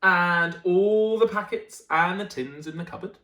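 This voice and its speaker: A man's voice: high-pitched, measured pace, pushed and bright, roomy sound. A man reading a children's picture book aloud as a storyteller, with dramatic emphasis and friendly asides to his listeners.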